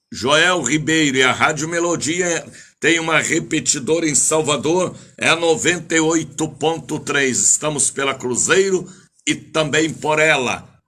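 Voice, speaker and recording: Older guy from preaching on radio, really bright punchy voice, slow declamatory delivery, repeats himself. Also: on the high side. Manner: declamatory, repeats phrases, drawn-out names. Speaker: evangelical bishop and preacher. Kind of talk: live radio church service, greeting listeners and exhorting.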